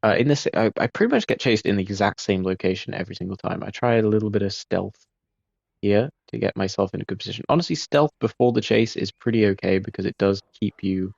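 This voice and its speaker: A man giving relaxed post-game commentary over his gameplay, laid-back and self-critical, with frequent ums and uhs. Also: on the low side, fast, vocal fry, no room sound, highs cut off. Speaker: gaming video creator.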